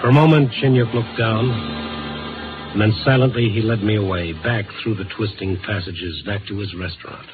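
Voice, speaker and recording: An actor playing an earnest, world-weary American newspaper reporter in a 1950s radio drama, speaking in clipped, dramatic phrases. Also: male voice, on the low side, normal speed, natural voice. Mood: hushed, suspenseful. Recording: narrow-band vintage radio broadcast. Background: dramatic music score.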